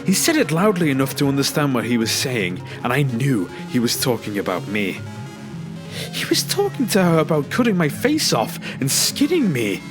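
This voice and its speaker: A man's voice: medium pitch, huge pitch swings, moderate pace. A man reading a scripted first-person scary story as a narrator. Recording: background music under the voice. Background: music.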